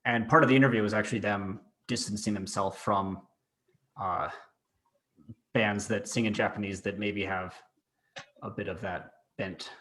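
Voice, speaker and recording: A man's voice: fairly low pitch, steady tone, normal speed, pauses often, a bit bright. A man hosting a podcast interview, asking questions and sharing his own experience in a tentative, hesitant way with fillers.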